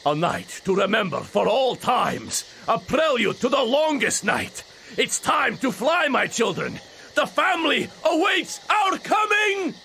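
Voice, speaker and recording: An actor playing a theatrical, aristocratic vampire villain in a video game, pompous, florid and mockingly courteous. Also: male voice, extremely high pitch, very animated, a little slow, vocal fry, pushed and bright, a little hiss or hum, heavily acted. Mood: grandiose, exultant. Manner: pompous, florid, mock-polite delivery. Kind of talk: video game cutscene acting. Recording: music behind the voice.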